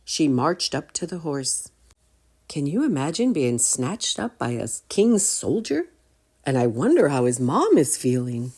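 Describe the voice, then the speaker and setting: Low-voiced, expressive woman reading a story. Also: husky. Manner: expressive, deliberate. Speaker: storytime reader. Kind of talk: children's story read-aloud with questions to listeners.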